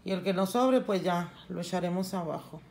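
A middle-aged woman explaining a recipe step by step in her home kitchen, unhurried and plain, with frequent restarts. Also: lowish voice, background noise. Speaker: home cooking channel host. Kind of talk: casual cooking tutorial.

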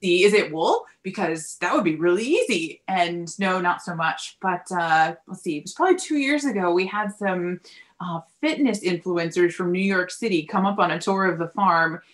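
A woman's voice: lowish voice, animated, normal speed. A woman in her forties telling stories in a casual video chat as a farm-owner guest. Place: indoors in a yarn shop lined with wool shelves. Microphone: computer mic on a video call.

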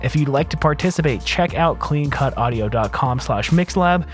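A man's voice: a little high, expressive, normal speed, faint music behind. A man in his thirties giving a casual, conversational audio mixing tutorial as host, speaking into a close studio microphone. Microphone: close broadcast mic on arm.

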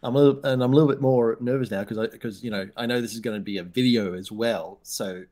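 A man's voice: medium pitch, fast, dark tone. An Australian man in his thirties, talking as a guest author over a headset video call, self-deprecating, laughing often and repeating words as he starts. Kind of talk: casual video-call interview.

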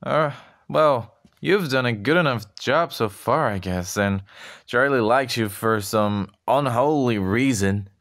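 A man's voice: mid-range voice, slow pace, a bit bright. A man voicing an exasperated, short-tempered male king of hell in a fan comic dub, whining and snapping. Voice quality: exasperated, whiny.

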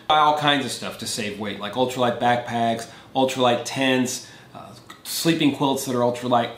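A man's voice: medium pitch, fairly bright tone. Earnest man reviewing gear, roomy echo. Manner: earnest, plain explaining with hand gestures. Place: indoor gear room with shelves. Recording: echoey room.

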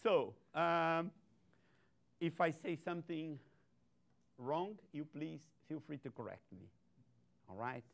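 Older man, Brazilian Portuguese accent, slightly high pitch, animated lecturer, frequent "okay?" tags, auditorium talk. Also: takes it slowly, gravelly, long pauses. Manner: animated, often ending sentences with "okay?". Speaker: guest speaker, scientist. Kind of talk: conference lecture to an audience. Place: large university auditorium.

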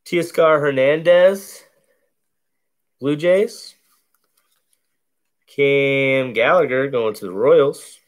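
Slow, bassy man opening baseball cards. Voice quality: deep, bassy voice. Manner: slow, laid-back play-by-play of each card. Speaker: card break host. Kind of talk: casual card-opening commentary. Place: indoors at a table covered in cards.

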